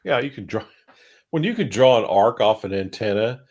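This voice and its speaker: A man with a deep, slightly rough and husky voice talks casually through technical matters. He explains in a loose, rambling way, qualifying his points as he goes, like a co-host on a panel show. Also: animated, normal speed, a little mellow.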